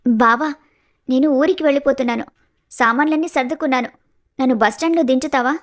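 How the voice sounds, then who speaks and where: Woman voicing a high-pitched sorrowful young village woman. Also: a little fast, natural voice. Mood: resigned. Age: young adult. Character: gentle heartbroken young village woman hiding her love. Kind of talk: animated family story dialogue.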